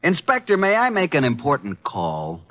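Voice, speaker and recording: An actor playing an unflappable detective's assistant in a radio mystery, dry and flippant. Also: male voice, on the high side, huge pitch swings, moderate pace. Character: wisecracking, unflappable male private-eye assistant. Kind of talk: vintage radio mystery acting. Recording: muffled vintage radio recording.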